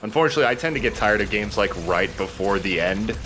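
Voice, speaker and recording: Bright, lively low-voiced man. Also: fast talker, soft background music, rough recording. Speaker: let's play host playing the game. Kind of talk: casual gaming commentary.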